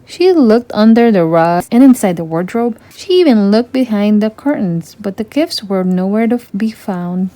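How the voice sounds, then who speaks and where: Mellow woman reading a children's storybook. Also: medium pitch, lively intonation, moderate pace, few pauses, no room sound. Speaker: storybook narrator. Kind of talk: children's read-aloud narration.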